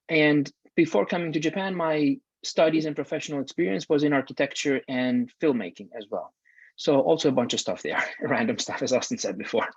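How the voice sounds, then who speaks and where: A young man from Greece, a PhD student, introducing himself in a relaxed way on a video call. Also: medium pitch, no room sound. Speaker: PhD student and regional head. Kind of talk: casual panel introduction. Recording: dull dry video call audio.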